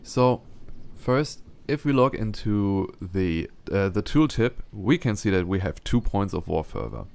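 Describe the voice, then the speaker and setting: A man's voice: on the low side, sing-song, measured pace, vocal fry, darkish tone. A man with a German accent, not a native English speaker, narrating a strategy game tutorial carefully, with frequent ums and restarts.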